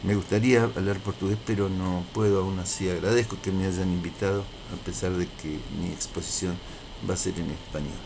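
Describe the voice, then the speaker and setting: Low-voiced man giving a lecture. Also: steady tone, brisk, noisy background. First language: Spanish. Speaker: university lecturer. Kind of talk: prepared lecture presentation.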